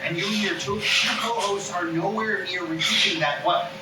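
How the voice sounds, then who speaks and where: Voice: mid-range voice, moderate pace, natural voice. A performer reading an exasperated, blunt man breaking bad news by phone in a comedy table read, sarcastic and stammering. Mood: incredulous, sarcastic. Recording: roomy, distant mic with loud background noise.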